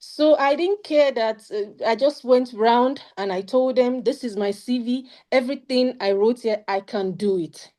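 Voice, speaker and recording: Earnest Nigerian woman, slightly high voice. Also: a little slow, hardly any pauses, warm tone. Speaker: mentor sharing study-abroad experience. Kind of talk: casual panel talk.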